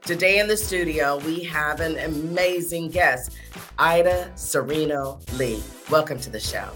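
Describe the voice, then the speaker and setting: A woman's voice: deep voice, a little slow, music in the background. A woman hosting a conversational interview, responding to her guest with sympathy. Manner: sympathetic, reactive. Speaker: show host, interviewer.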